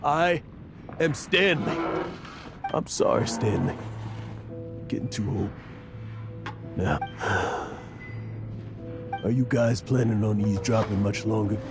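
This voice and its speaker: A man's voice: lowish voice, slow pace, vocal fry, long pauses. A man playing a proud, guilt-haunted middle-aged adventurer in a video game, theatrical and emotive, over music. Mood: grieving resolve, then weary, curt. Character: boastful middle-aged male adventurer hiding guilt and grief. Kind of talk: dramatic video game character acting.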